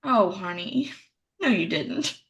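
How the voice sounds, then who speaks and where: An actress playing a chatty, well-meaning young wife in a virtual staged reading of a play. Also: female voice, medium pitch, lively intonation, slow, lo-fi sound. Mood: bright, eager.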